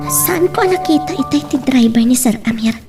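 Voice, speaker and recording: A woman's voice: high voice, expressive, moderate pace, distant mic, loud music behind the voice. A woman playing a modest, deferential young woman in an audio drama, hesitant and polite. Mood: pondering. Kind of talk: Ilocano radio-style drama acting. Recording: noisy track with ambient sound.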